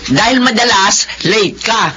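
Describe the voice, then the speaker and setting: A woman's voice: a little high, unhurried, pushed and bright, a little hiss or hum. An actress playing a scolding, exasperated middle-aged mother with a Filipino accent in a radio drama. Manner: nagging, reproachful.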